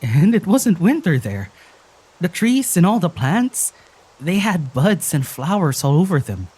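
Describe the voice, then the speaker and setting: A woman playing a weary, wry young traveller, recounting things in a halting, husky voice in an audio drama. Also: lowish voice, big pitch swings, warm tone, exaggerated voice. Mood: subdued recounting.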